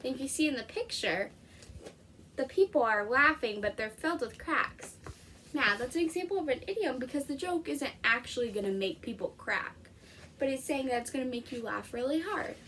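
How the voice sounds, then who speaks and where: A woman's voice: sits high, animated, moderate pace, fairly bright tone, recorded from a distance, a little hiss or hum. A woman explaining things informally as a teacher during a read-aloud lesson.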